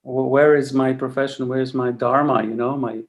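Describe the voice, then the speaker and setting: A man in his forties from Lebanon, a podcast guest telling his story in a halting way, with many fillers and restarts. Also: medium pitch, fairly even intonation. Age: 40s. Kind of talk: casual interview talk.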